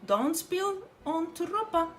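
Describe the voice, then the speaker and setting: Woman as a caring, bossy storybook mother, lively. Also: extremely high pitch, takes it slowly, soft background music, voice pushed up, heavily acted. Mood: cautioning. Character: caring, bossy mother calling instructions to her child.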